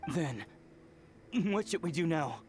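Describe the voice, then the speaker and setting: An actor playing an anxious, hesitant young experimenter in a video game, speaking in a worried, questioning way. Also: male voice, on the high side, expressive, slow pace, a little hiss or hum. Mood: uneasy. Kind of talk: dramatic video game voice acting.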